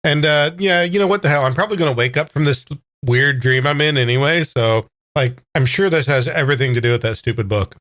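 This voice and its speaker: Male American player, medium pitch, loose conversational improv in a roleplaying session. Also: brisk, fairly bright tone. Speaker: tabletop game player. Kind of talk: casual in-character improvised chat.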